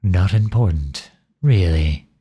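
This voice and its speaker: Male, actor in character, grumpy tsundere for ASMR roleplay: low, husky, unhurried, sarcastic and exasperated. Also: animated, dark tone, full character voice. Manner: sarcastic, flustered, grudging.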